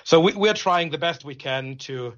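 A man's voice: a little high, moderate pace, highs cut off. A man from Germany, a native German speaker with a German accent, answering interview questions as a pastor in an earnest, explanatory way.

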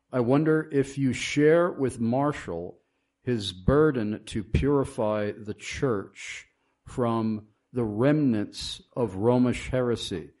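A man's voice: medium pitch, darkish tone. A man hosting a radio talk show, reading listener questions and speaking emphatically.

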